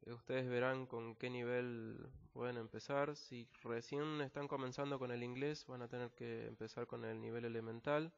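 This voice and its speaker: A man's voice: medium pitch, flat delivery, normal speed, creaky, few pauses. A man with an Argentine accent, an English teacher patiently explaining a technical text word by word, with frequent fillers. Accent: Argentine Spanish. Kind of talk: screen-recorded language lesson. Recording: slightly dull lo-fi recording.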